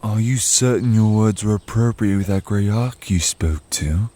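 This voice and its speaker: Deep, mellow guardian spirit voiced by a man. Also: moderate pace, lightly acted. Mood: doubtful, courteous. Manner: formal, courteous, careful. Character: cautious, questioning male guardian spirit. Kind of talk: scripted audio drama acting.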